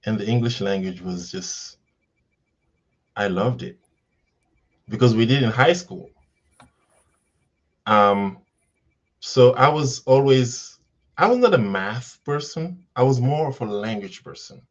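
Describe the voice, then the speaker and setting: A man's voice: medium pitch, lively intonation, slow, long pauses. Man on a muffled call. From Senegal. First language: Wolof. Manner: thoughtful, with frequent ums and restarts. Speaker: teacher, guest. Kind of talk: casual interview over a video call. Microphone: video call. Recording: dull lo-fi call audio.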